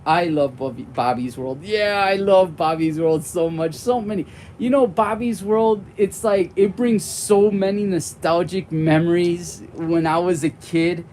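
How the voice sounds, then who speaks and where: A man's voice: high-pitched, unhurried, hardly any pauses, a little hiss or hum. A man in his forties with a young-sounding voice, chatting casually and rambling on tangents during a live board game stream. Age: 40s. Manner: warm and friendly toward viewers.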